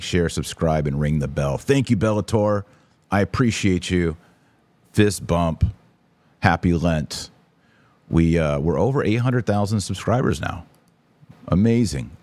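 A man's voice: low voice, dark tone, no room sound. A man hosting a live podcast, giving opinionated commentary and answering listeners in an emphatic, preacherly, indignant way. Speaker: podcast host.

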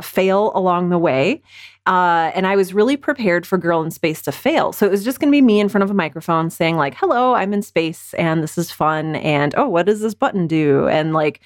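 A woman's voice: on the low side, dry recording, clean studio sound. A woman guest on a podcast, telling her story conversationally and effusively, acting out bits of dialogue. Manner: effusive, acts out remembered dialogue. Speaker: podcast guest, audio drama producer and writer.

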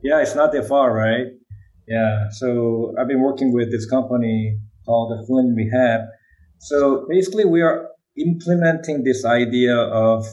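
A man's voice: mid-range voice, a little mellow, highs cut off. A man whose first language is Korean, speaking English with a Korean accent, answering interview questions about brain research and often checking in with "right?". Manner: explanatory, often adds "right?".

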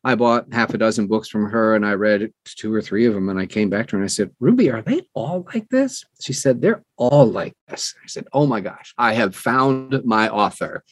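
A man's voice: lowish voice, animated, normal speed, few pauses, dry recording. A middle-aged or older man chatting casually as an interview guest, telling stories and acting out bits of dialogue. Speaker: interview guest, audiobook narrator. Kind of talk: casual conversational storytelling.

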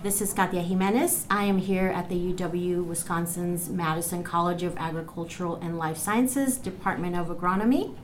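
A woman's voice: on the low side, moderate pace, few pauses, a little hiss or hum. Woman hosting a radio podcast. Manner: friendly, asks questions, laughs lightly. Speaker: podcast host and interviewer.

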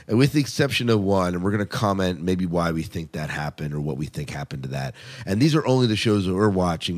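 A man's voice: low-pitched, a little mellow. A man hosting a podcast, chatting casually and enthusiastically as a fan. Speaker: podcast host. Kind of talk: casual fan chat about TV and film.

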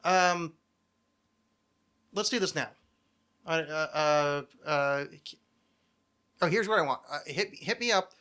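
A man's voice: fairly high pitch, takes it slowly, pauses often, sharp tone, clean tone. A man hosting a podcast with casual comedic banter, rambling and sardonic, swearing freely. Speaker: podcast host.

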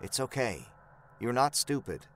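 A man's voice: medium pitch, very animated, a little slow, a bit bright. A man voicing a young male lover in a horror story, casual and questioning. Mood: concerned, probing. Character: impatient young male lover turning concerned, then detective-like. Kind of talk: dialogue within horror story narration.

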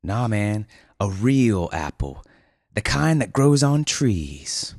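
A man voicing a relaxed, adventurous male office worker who talks in a teasing, drawn-out way. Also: on the low side, dry recording, clean studio sound, full character voice.

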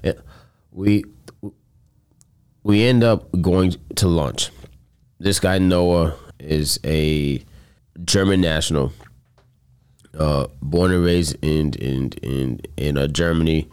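A man's voice: very deep voice, slow, long pauses, darkish tone, clean tone. A man telling a story in casual chat, earnest and loose, swearing now and then.

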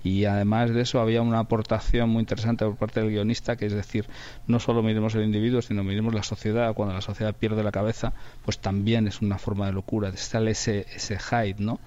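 A man's voice: lowish voice, flat delivery, quick pace, a little mellow, lo-fi sound. A man with an accent from Spain, talking reflectively in an interview as a radio drama director, often repeating words for emphasis. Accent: Spanish from Spain.

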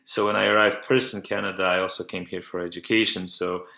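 A man's voice: low-pitched, level tone, fairly quick, vocal fry, sharp tone. A man from Poland, a clarinet professor answering radio interview questions at length, often restarting and repeating words. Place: remote call into a radio show. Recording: roomy echo.

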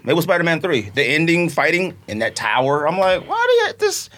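Podcast co-host, male, emphatic and lively, slightly high voice. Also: brisk. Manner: animated, emphatic, acts out his reactions. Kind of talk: casual chat.

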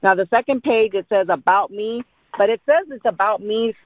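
A woman's voice: medium pitch, normal speed, dry recording. A woman giving informal sales training in an explanatory way, often checking in with short tags as she goes. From born in India, grew up in Dallas, Texas. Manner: explanatory, with frequent check-in tags like "right?" and "okay?". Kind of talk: informal instructional talk. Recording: narrow-band phone-line sound.

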